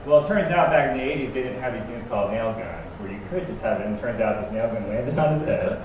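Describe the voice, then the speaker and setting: A man on a panel, telling an anecdote in a lively storytelling way. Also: mid-range voice, quick pace, distant mic, dull audio. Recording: echoey hall from afar, noisy.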